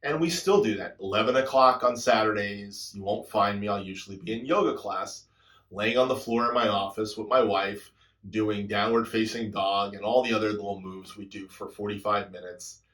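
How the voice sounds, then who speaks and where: A man in his sixties, a drummer hosting his channel, telling a personal story in a reflective, introspective way, his sentences often trailing off softly. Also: on the low side, moderate pace. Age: 60s. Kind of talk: reflective personal talk to camera.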